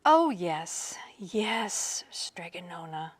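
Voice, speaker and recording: A woman's voice: on the low side, big pitch swings, very slow, slightly rough, heavily acted. A woman voicing a foolish, boastful young male servant from a storybook, eager and emphatic.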